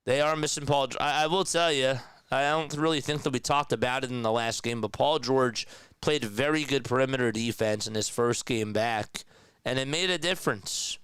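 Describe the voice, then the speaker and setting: A man calling a basketball game live, play by play, sounding a bit raspy and nasal as he gets over a sore throat, chatting casually between plays. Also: medium pitch, normal speed, a bit bright, no echo. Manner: conversational, reads and answers chat comments. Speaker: play-by-play commentator.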